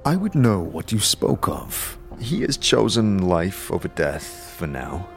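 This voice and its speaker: Man putting on a slow, stony, solemn faction elder. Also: deep voice, very animated, creaky, faint music behind, heavily acted. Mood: stern, solemn. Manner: slow, measured, formal, terse. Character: grave, stony, patient male faction elder. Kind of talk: fantasy audio drama acting.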